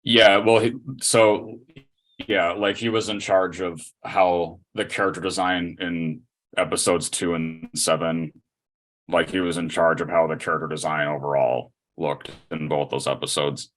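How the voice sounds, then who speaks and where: A man in a casual podcast chat, explaining things in a halting way. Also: deep voice, fairly even intonation, a bit bright.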